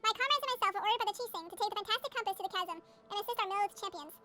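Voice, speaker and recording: A woman streamer reads game text aloud at a very rapid pace. Her voice is extremely high and bright, with faint noise behind it.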